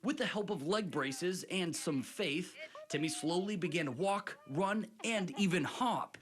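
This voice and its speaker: A woman's voice: fairly low pitch, lively intonation, a little slow. An American woman working as a news reporter, reading a scripted feature story.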